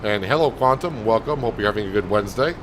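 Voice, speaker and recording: Calm low-voiced man streaming a farm game. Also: noisy background. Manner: calm, soothing, unhurried. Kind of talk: casual gameplay commentary.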